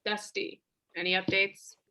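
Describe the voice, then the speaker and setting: An actress playing a brisk, sardonic office meeting leader in a comedy web series, businesslike with dry sarcasm. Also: female voice, medium pitch, level tone, pushed and bright.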